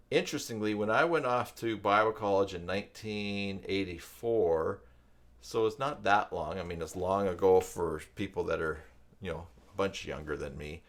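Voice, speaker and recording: A man in his fifties hosting a live stream, talking in a casual, rambling, conversational way. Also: deep voice. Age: 50s. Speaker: live stream host and tutorial maker. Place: downstairs room at home.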